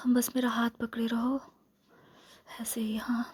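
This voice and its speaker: A woman performs a lonely vampire seductress in an intimate ASMR role-play. Her voice is very breathy and husky, slipping into whispers, with a subdued, narrow melody. It stays soft and dark in tone as she lingers over coaxing, possessive words. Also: fairly high pitch, level tone, unhurried. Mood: possessive, protective.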